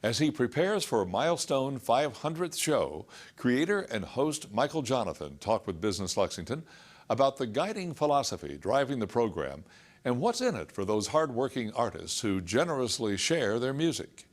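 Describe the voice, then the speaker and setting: A man's voice: medium pitch, big pitch swings, normal speed. A man narrating a scripted news feature.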